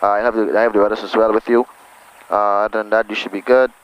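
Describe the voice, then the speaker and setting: Male pilot-instructor, slightly low pitch, casual and reassuring, heard through a cockpit headset with aircraft noise. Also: flat delivery, fast talker, smooth, highs cut off. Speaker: pilot giving flight instruction. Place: small plane cockpit in flight operations. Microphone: aviation headset. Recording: noisy aircraft cockpit intercom.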